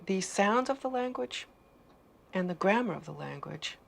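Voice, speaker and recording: A woman scientist explaining research in a measured, confident way in an interview. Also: medium pitch, expressive, gravelly.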